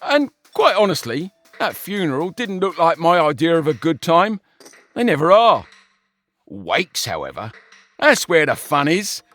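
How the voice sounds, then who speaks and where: A man's voice: on the high side, sing-song, a little slow, fairly bright tone, dry recording, faint music behind, voice pushed up. A man voicing a lecherous, whiny pig brother. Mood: boastful. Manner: boastful, dismissive, wailing. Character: lecherous slovenly male pig, boastful and whiny.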